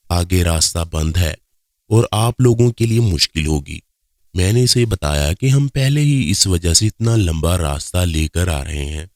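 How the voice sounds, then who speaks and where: A man's voice: low-pitched, darkish tone, no echo, clean studio sound. A man reading a scripted first-person story as its narrator.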